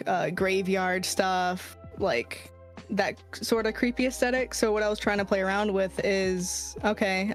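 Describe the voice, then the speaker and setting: A woman with a Boston accent talks loosely and chattily at a middle pitch, piling up fillers and the odd curse as she spins a backstory. Soft music plays faintly underneath her streaming mic. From near Boston, US East Coast. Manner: chatty, full of "like", swears casually. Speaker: guest, VTuber. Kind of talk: casual chat, telling her character backstory.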